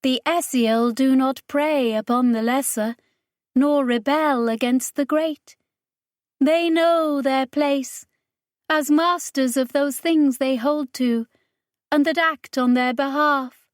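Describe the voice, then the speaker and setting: A woman performs a solemn alien crime lord from a science fiction audiobook. The voice is pitched high and moves very slowly, chanting each formal phrase with grandiose weight. The sound is dry and close. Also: fairly even intonation, no echo. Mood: solemn, defiant. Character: solemn alien crime lord with eye stalks, speaking through a majordomo.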